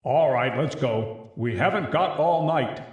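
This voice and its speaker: A man voicing an unseen, impatient commander in a dramatic story reading, urging in a drawn-out way with roomy echo. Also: medium pitch, lively intonation, darkish tone, muffled recording, close to an everyday voice. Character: booming impatient unseen male voice commanding captives to kill.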